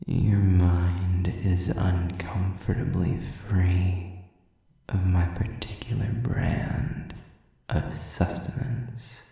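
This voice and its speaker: A man playing a sadistic, predatory demon in an intimate audio roleplay, taunting with mock politeness and breathy, drawn-out menace. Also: deep voice, flat delivery, mellow, very echoey, far from the mic, exaggerated voice. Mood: contemptuous, predatory. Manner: slow, drawn-out, mockingly polite, breathy whispers.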